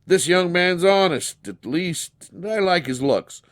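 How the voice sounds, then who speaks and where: A solemn, self-important President in a storybook, played by a male narrator. The voice is raised and lively, with a deliberate, oratorical delivery. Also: high-pitched, pitched above the performer's own voice. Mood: frank, kindly. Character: proud, solemn President, folksy statesman, decisive and kindly.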